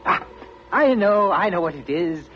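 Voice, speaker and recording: A high, excitable voice on a muffled old radio, that of a chattering, superstitious lighthouse keeper. He is agitated and exclamatory in 1950s radio drama fashion. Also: a little slow. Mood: excited. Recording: muffled, faintly noisy old radio recording.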